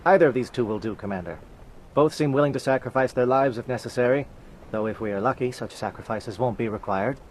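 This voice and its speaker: A man voicing a solemn alien commando captain in a video game, speaking in a formal, measured, oratorical way. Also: medium pitch, slight background noise, close to an everyday voice. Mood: formal, assured. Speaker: military captain. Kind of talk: video game cutscene acting.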